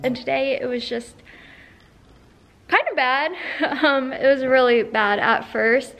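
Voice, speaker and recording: A woman's voice: sits high, slow, fairly bright tone, soft background music. A young woman talking casually to a phone held at arm's length, standing in an indoor barn aisle beside her horse. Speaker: horse trainer and vlogger. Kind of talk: casual vlog talk to a selfie camera.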